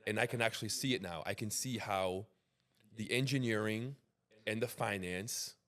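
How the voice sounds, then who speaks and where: A man's voice: on the low side, normal speed, fairly bright tone. A man hosting a podcast, interviewing in a casual, chatty style.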